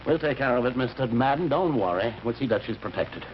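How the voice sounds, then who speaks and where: A man's voice: mid-range voice, expressive, brisk, natural voice. Guy playing tough detective in old radio show, punchy swingy delivery, terse, dull hissy recording. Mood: reassuring. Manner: terse, hard-boiled. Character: tough, determined, reassuring detective. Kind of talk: old-time radio drama acting. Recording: noisy, muffled vintage radio recording.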